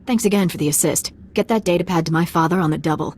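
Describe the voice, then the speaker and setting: A woman as a flippant, low-voiced young spy. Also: quick pace, a little mellow, slight background noise, close to an everyday voice. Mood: breezy. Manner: flippant, teasing. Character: cocky, breezy young noblewoman spy. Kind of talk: dramatic video game acting.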